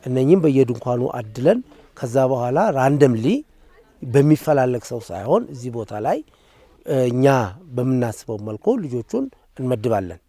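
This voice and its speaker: A man who organizes a program, explaining its logistics in a brisk, matter-of-fact way. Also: medium pitch, expressive, dark tone, no echo.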